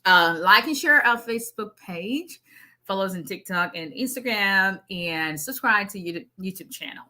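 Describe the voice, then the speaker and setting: Middle-aged woman with a Nigerian-Southern US accent, a lawyer on a casual live stream: bright, punchy tone, fast and chatty. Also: lowish voice. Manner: fast, chatty, with frequent asides and laughs.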